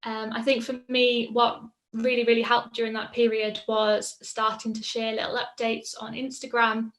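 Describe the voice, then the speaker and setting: Woman in her 20s talking about her own life, relaxed with lots of ums, kinda high voice. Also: moderate pace. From Mid Wales. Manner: casual, reflective, frequent ums. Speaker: patient sharing her story. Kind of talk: personal testimonial.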